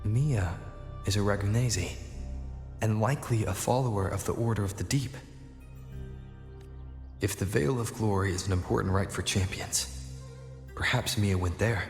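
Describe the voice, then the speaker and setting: A man voicing a thoughtful, composed young wanderer in a video game, reasoning in a measured, serious inner monologue. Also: on the low side, a little mellow, natural voice. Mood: pondering. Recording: echoey reverb effect, music behind.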